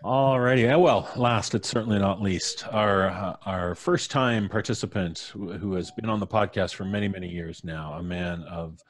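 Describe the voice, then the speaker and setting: A man's voice: deep voice, normal speed, hardly any pauses, soft background music. A middle-aged man casually hosting a live podcast stream, speaking into a studio microphone indoors. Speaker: podcast host. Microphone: studio microphone on a boom arm.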